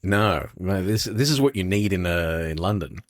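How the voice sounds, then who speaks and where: A man with an Australian accent co-hosting a podcast, chatty and quick to laugh, also reading sponsor plugs. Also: low voice, expressive, no room sound, studio quality. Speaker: podcast co-host. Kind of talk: casual podcast chat with sponsor reads.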